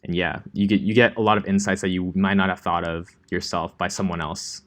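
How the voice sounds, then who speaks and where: A young man from Los Angeles teaching a coding class in a relaxed, chatty way, with many ums and likes. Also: low voice, fairly quick. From Los Angeles, California. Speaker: coding instructor. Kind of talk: casual classroom lecture.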